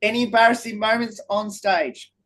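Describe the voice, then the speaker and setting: An Australian woman asks short, friendly questions over a video call. She speaks at a mid pitch and keeps things light and brief. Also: fairly even intonation, normal speed, fairly bright tone. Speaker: radio interviewer. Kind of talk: interview questions.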